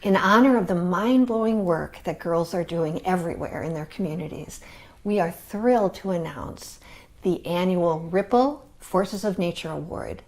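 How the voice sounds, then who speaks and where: Woman announcing an award, lowish voice. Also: moderate pace. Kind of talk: scripted announcement.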